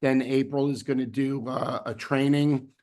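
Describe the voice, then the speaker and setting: A man hosting a weekly team video call, his voice hoarse from laryngitis, rambling with fillers and restarts. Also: mid-range voice, level tone, moderate pace, a little mellow. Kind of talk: casual team announcements.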